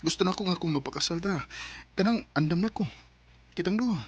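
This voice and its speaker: An actor with a Cebuano Filipino accent playing an earnest, apologetic young sweetheart in a radio drama, with a husky voice. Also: male voice, high voice, expressive, a little fast, muffled recording. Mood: pleading, tender. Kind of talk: Bisaya radio drama acting.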